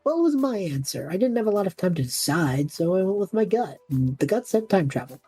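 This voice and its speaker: A woman voicing a cocky, theatrical young male magic student with a husky voice in an audio drama. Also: mid-range voice, sing-song, fairly quick, dark tone, no echo, faint music behind. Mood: defensive. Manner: theatrical, self-important, easily wounded. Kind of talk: audio drama character banter.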